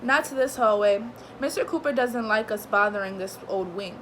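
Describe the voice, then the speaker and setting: A woman's voice: medium pitch, normal speed, slight background noise, close to an everyday voice. A woman playing a radio station janitor in a radio play. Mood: wary.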